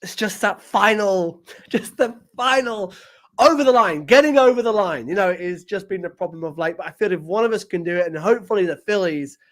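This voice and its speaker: A man's voice: high voice, a little fast, fairly bright tone. A man from Britain hosting a casual podcast interview, enthusiastic, often restarting phrases. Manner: enthusiastic, repeats words, laughs often. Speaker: podcast host.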